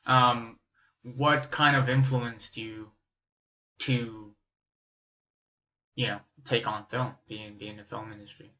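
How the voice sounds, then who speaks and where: A man's voice: medium pitch, flat delivery, takes it slowly, long pauses, dull audio. A man from Romania who grew up in the US, hosting a podcast interview in casual, slangy, curious chat. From born in Romania, raised in the US from age eight. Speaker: podcast host interviewing a guest.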